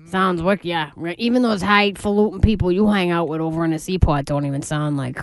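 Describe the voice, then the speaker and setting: A woman co-host with a Boston accent, dry and sarcastic in quick banter. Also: lowish voice. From Boston area. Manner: sarcastic, dismissive quips. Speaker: radio show co-host. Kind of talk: casual radio banter.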